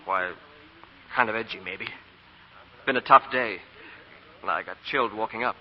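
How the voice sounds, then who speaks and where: An actor playing a proud young Southern banker sick with fever in a 1940s radio drama. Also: male voice, fairly low pitch, normal speed, close to an everyday voice. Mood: edgy, weary. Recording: scratchy, muffled 1940 radio broadcast.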